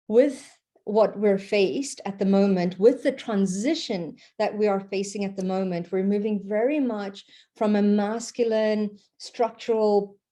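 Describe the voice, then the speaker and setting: A woman, her warm, mellow voice rambling loosely through a spiritual talk. She keeps checking in with a questioning "okay?" and lets fillers and restarts slip in as she thinks aloud. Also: mid-range voice. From Portugal. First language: Portuguese. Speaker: Reiki practitioner.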